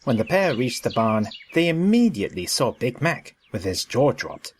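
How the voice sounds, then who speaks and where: A man's voice: mid-range voice, very animated, normal speed, slight background noise. A man narrating a scripted story in a storybook style.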